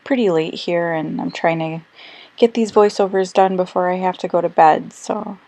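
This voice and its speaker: A woman who is slightly hoarse and losing her voice, chatting casually through a crafting voice-over with lots of ums and little self-corrections. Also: lowish voice, steady tone, normal speed, a little mellow. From Australia. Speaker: scrapbooking crafter. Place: quiet room, recorded voice-over.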